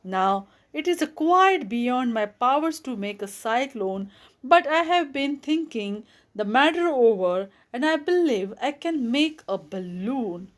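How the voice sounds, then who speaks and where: A woman's voice: on the high side, expressive, measured pace. A woman reading a children's storybook, voicing a pleasant little humbug wizard man who explains things chattily. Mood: explaining. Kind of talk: children's storybook read-aloud.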